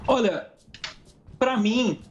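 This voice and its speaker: High-pitched Brazilian man in casual interview. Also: muffled recording, soft background music. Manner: colloquial, halting, frequent tag questions. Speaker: interview guest, musician. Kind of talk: casual interview answers, anecdotes.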